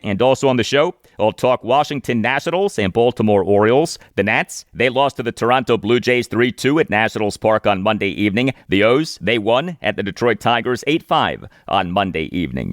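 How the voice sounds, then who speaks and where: A man with a low-pitched voice hosts a sports podcast on his own. He lands key phrases with deliberate emphasis as he comments and reads aloud. The sound is dry, with very little room in it. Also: a bit bright, dry recording. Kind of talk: solo sports commentary, reading listener emails and quotes.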